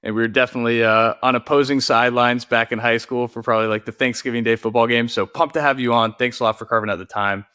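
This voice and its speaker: A man's voice: medium pitch, steady tone, fast talker, few pauses, sharp tone, clear, resonant tone. A man hosting a podcast, upbeat and friendly, with some fillers as he sets up questions. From Newton, Massachusetts. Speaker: podcast host. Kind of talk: podcast interview.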